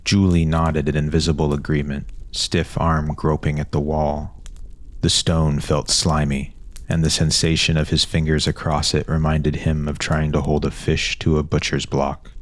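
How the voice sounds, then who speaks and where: A man's voice: rumbling low, level tone, normal speed, no echo. A man narrating a scripted fantasy story as a storyteller.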